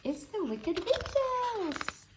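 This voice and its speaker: A woman voicing a small, anxious male mouse in a storybook, fretful and squeaky. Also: sing-song, very slow, background noise, exaggerated voice. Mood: frightened.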